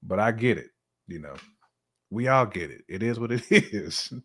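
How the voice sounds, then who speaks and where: A man's voice: on the low side, animated, normal speed, fairly bright tone. A man from the United States talking casually on a discussion show, with informal slang and frequent checks for agreement. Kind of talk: casual chat.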